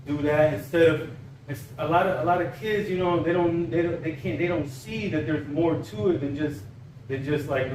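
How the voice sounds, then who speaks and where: A man's voice: fairly high pitch, reverberant, far from the mic, a little hiss or hum, rough recording. A Cuban-born man in his forties hosting a casual podcast interview, encouraging and repeating words as he talks. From Cuba. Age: 40s. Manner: encouraging, repeats words while searching for them. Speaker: podcast host.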